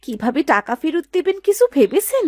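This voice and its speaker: A woman's voice: high-pitched, very animated, fairly quick. A woman playing a meek, dutiful young daughter-in-law in a village cartoon, speaking deferentially and anxiously. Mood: anxious, hesitant. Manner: soft-spoken, deferential, anxious. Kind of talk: dramatised cartoon dialogue.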